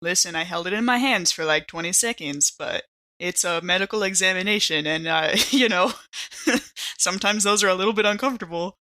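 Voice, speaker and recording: Woman as a bright-voiced, no-nonsense ship medic. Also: on the low side, fairly quick. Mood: firm, exasperated. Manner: casual slang, no-nonsense, exasperated reassurance. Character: brisk, firm female ship medic, casual.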